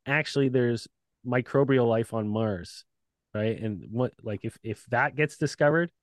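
A man in the guest chair of a casual podcast, talking loosely with plenty of swearing and easy laughter. His voice sits at an ordinary middle pitch. The recording is close and dry, with no room sound. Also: unhurried, dry recording. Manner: laid-back, profane, laughs often. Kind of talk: casual chat.

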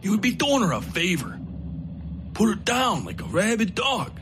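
Man voicing a big, coldly persuasive hardened traveler, pitched high. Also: sing-song, normal speed, noisy background. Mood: cold, urging.

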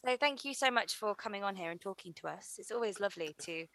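A woman hosting a podcast, gently encouraging her guest with frequent fillers. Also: mid-range voice, animated, fast talker, no room sound. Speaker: podcast host. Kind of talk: casual chat.

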